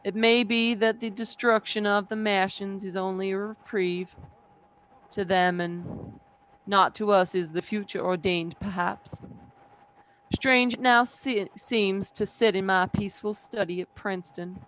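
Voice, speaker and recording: A performer playing a sombre professor who survived an invasion, narrating in measured, literary radio play style. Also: mid-range voice, steady tone, a bit bright, smooth, natural voice, rough recording. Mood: solemn, reflective.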